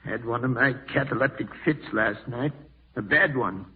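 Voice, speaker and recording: A man's voice: mid-range voice, normal speed. An actor playing an aged, irascible dying man haunted by fear, in a 1940s radio drama style. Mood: gruff, irritable. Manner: crotchety, brusque. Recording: muffled distant husky old radio recording.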